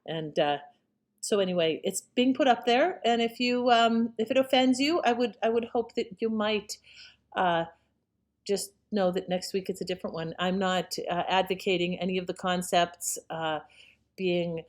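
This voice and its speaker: A woman's voice: medium pitch, moderate pace. A woman host giving a casual introduction to an old radio show in a hesitant, apologetic manner.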